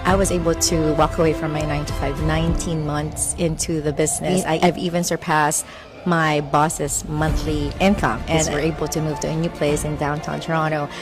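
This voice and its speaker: A woman's voice: low-pitched, level tone, normal speed. Cheerful woman from the Philippines. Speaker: former nurse turned online business owner. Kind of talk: testimonial. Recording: background music.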